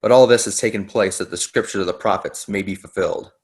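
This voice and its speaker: Low and measured, this is a man reading a calm accused holy teacher in a church scripture drama. He delivers each line as a solemn declaration. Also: natural voice. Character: Jesus, calm accused male teacher facing arrest.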